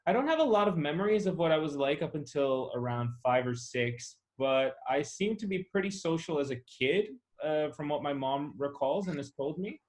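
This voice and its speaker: A man's voice: a little high, lively intonation, moderate pace, hardly any pauses, a little mellow, rough recording. A man from a childhood split between the US, Chile and China, answering interview questions in a casual, explanatory, reflective way. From born in Chile, raised in the US, Chile and China. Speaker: interviewee, soccer club founder. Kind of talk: casual personal storytelling.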